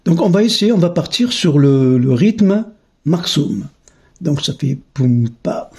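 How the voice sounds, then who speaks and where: A man's voice: fairly high pitch, animated, takes it slowly, a little mellow. A man giving a casual, hands-on tutorial as a teacher, thinking aloud in a hesitant way as he goes. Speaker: music teacher, channel host. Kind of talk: casual spoken tutorial demonstration.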